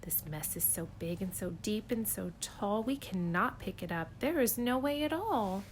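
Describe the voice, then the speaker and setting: A woman's voice: mid-range voice, animated, normal speed, slight background noise, natural voice. A woman voicing a fussy, fretful pet fish in a storybook reading, scolding, anxious and insistent.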